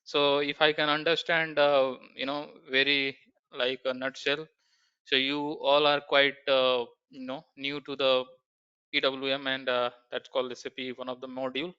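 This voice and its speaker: A man runs an online training call. His voice is bright and ringing, and it comes through crisp, though the call audio dulls it. He talks haltingly and hands the floor to each student in turn. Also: mid-range voice, level tone. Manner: halting, many fillers, hands turns to students. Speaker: SAP trainer. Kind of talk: online class introduction. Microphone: video call. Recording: dull, muffled video call audio.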